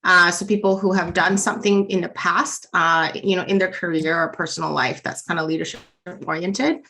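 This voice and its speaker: A woman's voice: lowish voice, steady tone, fairly quick, sharp tone. A woman in her thirties from the Philippines, a business coach answering interview questions in a friendly, casual way with many fillers. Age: 30s. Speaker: business leadership coach, guest.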